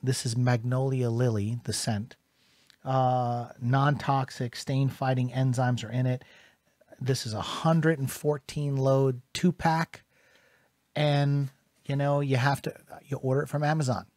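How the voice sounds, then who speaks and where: A man's voice: medium pitch, steady tone, measured pace, darkish tone, dry recording, clean studio sound. An American man in his forties talking casually and emphatically to camera as a vlog host, in an earnest, conversational way. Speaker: YouTube host. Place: small dim home studio room, indoors. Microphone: studio microphone on boom arm, close.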